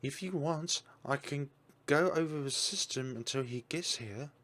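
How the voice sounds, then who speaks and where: Game read-aloud: a man playing a hushed, deadpan young woman, lifted pitch. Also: unhurried. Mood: flat. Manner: soft-spoken, flat. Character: quiet, expressionless young woman reporter.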